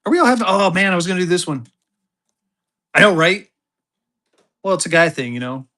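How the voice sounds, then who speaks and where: A tired man running a live banknote auction on a stream, hyping bids in quick bursts and talking about himself in the third person. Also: high-pitched, animated, pushed and bright.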